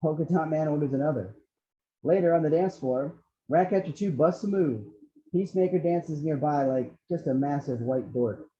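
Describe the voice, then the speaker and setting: A man's voice: on the high side, normal speed, mellow. A man reading out screenplay action descriptions as the narrator of a table read. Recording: slightly dull lo-fi microphone.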